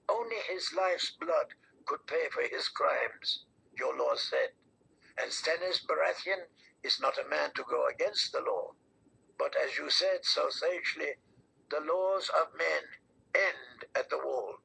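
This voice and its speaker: An older man voicing a solemn, persuasive priestess of a fire god in an audiobook, raspy, creaky and deliberate. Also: fairly high pitch, sharp tone, heavily acted, poor audio. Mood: sly, assured. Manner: portentous, deliberate.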